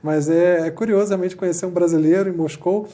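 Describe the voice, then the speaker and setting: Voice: mid-range voice, steady tone, fairly quick, mellow. A TV interviewer asking chatty, admiring questions of a guest.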